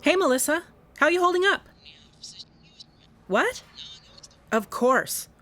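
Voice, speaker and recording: A woman's voice: high voice, expressive, slow pace. A woman playing a wry, matter-of-fact private investigator in a contemporary radio drama. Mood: concerned. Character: brisk, wry female private investigator, a widow.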